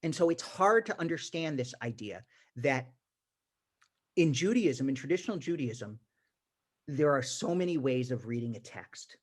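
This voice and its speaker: Animated class teacher. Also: mid-range voice, normal speed, breathy edge. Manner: animated, emphatic, frequent restarts. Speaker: teacher leading a congregation class. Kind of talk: informal lecture. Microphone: video call.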